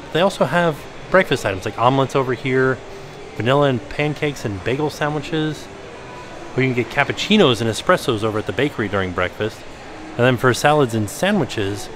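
Man, casual walking-tour commentary, handheld camera, middle pitch, faint public-space noise. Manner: chatty, curious, thinking aloud. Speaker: vlogger touring a resort. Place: indoor restaurant hall and outdoor resort grounds.

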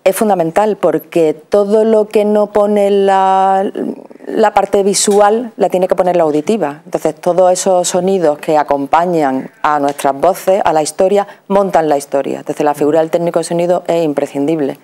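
Low, mellow middle-aged Spanish woman. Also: moderate pace. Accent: Spain. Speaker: radio theatre actor, interview guest. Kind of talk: explanatory interview talk. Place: TV studio. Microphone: lapel mic.

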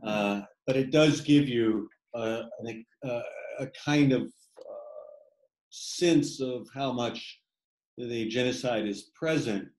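A man who writes, speaking about his book on a video call in a halting, thoughtful way with many fillers. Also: mid-range voice, a little mellow, roomy sound, rough recording. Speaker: poet reading his work. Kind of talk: reflective talk in an online reading. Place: home room on a video call.

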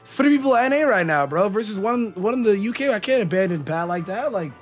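A man's voice: high voice, expressive, fast talker. A man playing a game on a video call, goofy and joking in casual chat. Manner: goofy, playful, jokey. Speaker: co-player. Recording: game music underneath.

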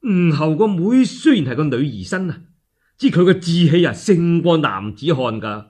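A smooth Cantonese matchmaking envoy, acted by a male storyteller, persuades with courtly emphasis. His pitch moves a great deal and his tone is slightly rough, as in an old tale told on radio. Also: very animated, normal speed, darkish tone. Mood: coaxing, assured.

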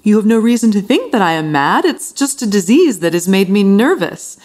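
A woman's voice: medium pitch, very animated, moderate pace, darkish tone. An actress playing a genteel, nervous madwoman in a gothic radio drama, formal, fussy and insistent. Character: nervous, prim madwoman, genteel and over-polite.

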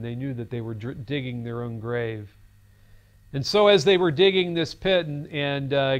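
A man's voice: medium pitch, clear, resonant tone, lo-fi sound. A man in his fifties giving a recorded seminary lecture in a studio classroom set, speaking earnestly and telling a story on a lapel mic. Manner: earnest, storytelling, gestures while talking.

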